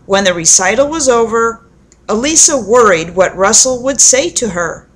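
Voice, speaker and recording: A woman telling a children's story aloud in an expressive, deliberate storybook manner, with dramatic pauses. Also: on the high side.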